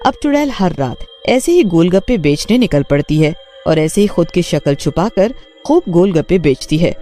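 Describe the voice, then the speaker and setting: A man narrates a cartoon story from a script. His voice is mellow and relaxed, with soft music playing underneath. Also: high-pitched, normal speed.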